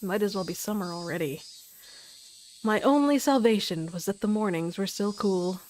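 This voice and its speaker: A woman's voice: medium pitch, normal speed, darkish tone, slight background noise, rough recording. A woman live streaming, reading a story game aloud and chatting in a playful manner.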